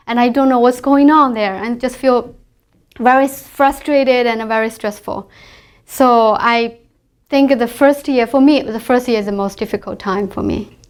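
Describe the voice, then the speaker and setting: A woman with a smooth, clear, rather high voice tells her own story in halting English. She often hesitates and restarts her sentences as she looks for words. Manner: hesitant, many fillers and restarts. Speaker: former graduate student. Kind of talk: personal testimony.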